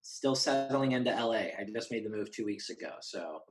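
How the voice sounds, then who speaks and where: A man's voice: fairly low pitch, expressive, brisk, faint music behind. Rambling, slangy man in casual chat. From Northern California, United States. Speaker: interview guest, horror journalist.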